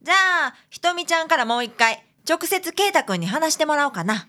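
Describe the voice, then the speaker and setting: A woman's voice: high voice, big pitch swings, fast, fairly bright tone. A woman playing a friendly, teasing detective's assistant with a Kansai accent in a radio drama. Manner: chatty, teasing, encouraging.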